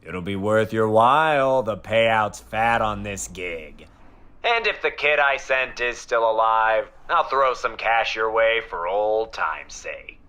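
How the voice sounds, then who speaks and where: Bright, forceful, slick agency boss. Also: male voice, on the low side, lively intonation, slow, clean tone, exaggerated voice. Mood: coaxing, needling. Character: slick, wheedling male psychic-agency boss. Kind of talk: scripted audio drama acting. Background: music.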